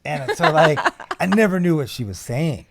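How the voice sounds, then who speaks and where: A man's voice: on the high side, animated, vocal fry, fairly bright tone. A man in his thirties, a guest in a casual interview, joking and teasing as he tells stories. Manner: joking, teasing, fast-talking. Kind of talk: casual chat.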